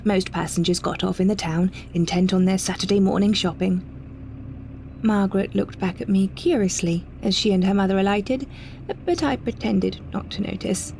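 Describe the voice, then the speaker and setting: British woman reading a story in first person, medium pitch, careful expressive phrasing, ambient noise behind her. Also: normal speed, creaky. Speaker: narrator, solo performer of an audio story.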